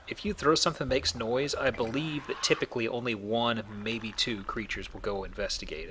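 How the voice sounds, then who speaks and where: A man's voice: mid-range voice, sharp tone, slight background noise. A man hosting a let's play, explaining things casually with a joking manner. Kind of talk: casual game commentary.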